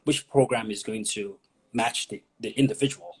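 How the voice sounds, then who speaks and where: Nigerian-born guy, husky voice, explains step by step, restarts a lot. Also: on the low side, moderate pace. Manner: explanatory, repeats words while thinking. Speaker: immigration consultant. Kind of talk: interview guest explaining.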